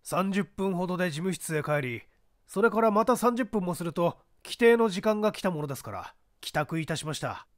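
Man as male office clerk, mystery audiobook, polite, explanatory, high pitch, varied intonation. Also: expressive, fairly quick, dry recording, clean studio sound. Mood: matter-of-fact. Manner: deferential, matter-of-fact, explanatory.

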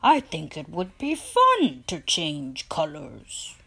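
A woman voicing a friendly, expressive storybook hippo who is bored of being gray. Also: deep voice, slow, creaky, breathy edge, full character voice. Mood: wistful. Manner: exclaiming, big and expressive.

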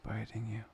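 A man playing a soft, loving boyfriend in an intimate ASMR roleplay, half-whispering breathily and soothingly up close to the mic. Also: a little hiss or hum. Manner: slow, pausing, soothing. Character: soft, playful, loving boyfriend, tender and reassuring. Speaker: audio roleplay performer. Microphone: close microphone.

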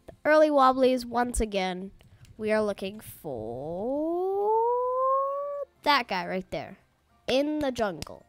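Woman let's-play host, relaxed slow delivery, chatty and rambling, slightly off voice from allergies. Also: fairly high pitch, no echo. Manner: chatty, rambling, talks to viewers as "guys". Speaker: gaming YouTuber. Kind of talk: casual let's-play commentary.

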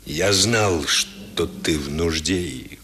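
A man's voice: deep voice, takes it slowly, sharp tone, a little hiss or hum, full character voice. An actor playing a stern, proud old grandfather with theatrical, emphatic phrasing in a 1970s radio drama. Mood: tender, remorseful. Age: older.

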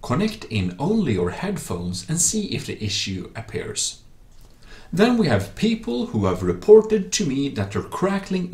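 A man narrating a tech tutorial as its host, in slightly awkward non-native English phrasing with some off-the-cuff asides. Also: medium pitch.

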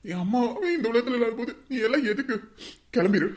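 A woman playing a grieving son, high and fast. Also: lightly acted. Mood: furious. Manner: shouting, sobbing. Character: grieving young son, fiercely protective.

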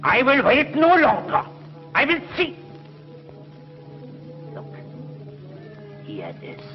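An actor playing a grandiose, commanding subterranean tyrant in a melodramatic 1950s television serial. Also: male voice, very high voice, huge pitch swings, slow, heavily acted. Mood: imperious. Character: power-mad subterranean despot craving to conquer the surface. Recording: muffled 1950s TV soundtrack, loud music.

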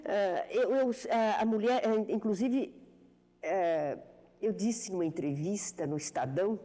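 Woman in her fifties, mellow low-bright voice, measured and hesitant, lapel mic, reverberant television studio. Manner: measured, reflective, frequent hesitations and repetitions. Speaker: writer being interviewed. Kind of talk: thoughtful television interview answers.

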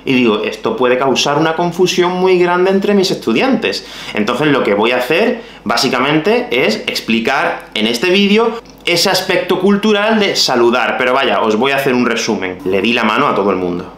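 Lively Spanish teacher with a softened Andalusian accent. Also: male voice, medium pitch, moderate pace, reverberant. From Málaga, Spain. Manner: animated, gestures with his hands, addresses viewers directly. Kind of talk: explaining to the camera for language learners. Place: small room at home.

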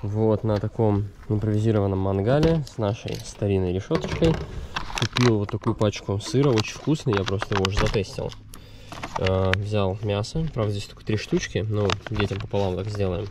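A man's voice: lowish voice, brisk. A man in his thirties chatting informally and in slang as he narrates a family travel vlog on a handheld camera. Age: 30s. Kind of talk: casual vlog narration while filming. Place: outdoors at a campsite, in a car, in a mall. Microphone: handheld camera at arm's length. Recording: noisy outdoor and in-car handheld recording. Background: ambience, vehicle and outdoor noise.

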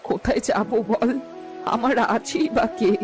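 A woman's voice: a little high, unhurried, darkish tone, lightly acted. Pleading old village mother played by a woman, music behind. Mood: anxious complaining. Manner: pleading, lamenting. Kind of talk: Bengali fairy-tale cartoon dialogue.

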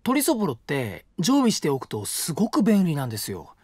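An actor playing a polite, gentle young college student who cooks and patiently explains recipes. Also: male voice, a little high, huge pitch swings, fairly quick, breathy edge, dry recording, studio quality, full character voice. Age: young adult. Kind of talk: studio audio drama. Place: dry studio.

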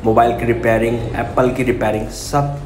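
A man's voice: mid-range voice, flat delivery, a little fast. A young man narrating a casual vlog, warning viewers in an earnest tone while talking into his phone. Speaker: vlogger. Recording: echoey with loud music behind.

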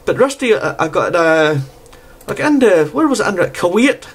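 A man's voice: sits high, very animated, moderate pace, husky. A man chatting casually as an amateur radio operator, often tagging his phrases with "there". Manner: repeatedly tags phrases with "there". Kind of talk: casual radio contact chat.

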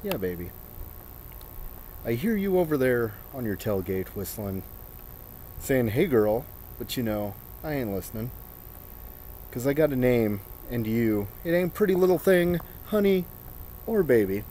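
A man in his thirties with a soft, dark tone recites in a mock-dramatic style. His phrasing is theatrical, stretched out and broken by deliberate pauses. He is recorded outdoors on a camera, with some noise behind him. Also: medium pitch, sing-song. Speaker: performer. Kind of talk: mock-dramatic reading of song lyrics. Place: outdoors in a snowy residential yard.

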